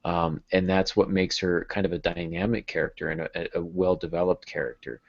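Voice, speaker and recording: Male podcast host, thirties, deep pitch, conversational, lo-fi headset call sound. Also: steady tone, a little fast, vocal fry. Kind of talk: casual podcast discussion on writing. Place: small home room, video call. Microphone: earbud headset. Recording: dull, lo-fi video-call audio.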